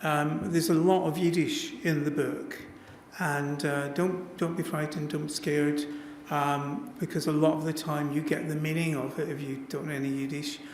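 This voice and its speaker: A man's voice: a little high, flat delivery, normal speed, a little hiss or hum. A man chairing a festival event, asking questions with enthusiasm and frequent restarts. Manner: enthusiastic, self-interrupting. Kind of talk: interview questions. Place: lecture hall at a festival event. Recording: roomy hall with faint ambience.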